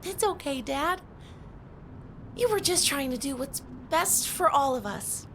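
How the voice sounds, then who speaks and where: A very high, swooping child troll, bossy yet earnest in a family audio drama. He takes his time over his words, over a faint rumble. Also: animated, a little slow, a bit bright, exaggerated voice. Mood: earnest, forgiving. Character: young mountain troll son, earnest, bossy, clever little kid.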